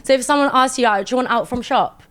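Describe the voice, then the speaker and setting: A woman's voice: high-pitched, fast talker. A woman with a London accent interviewing a fighter in a casual, teasing way, laughing often. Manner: giggly, teasing.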